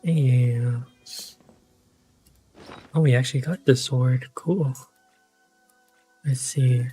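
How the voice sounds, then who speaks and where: A man giving casual gameplay commentary, husky and low-key, muttering to himself. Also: steady tone, extremely slow, mellow. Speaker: game streamer. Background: background game music.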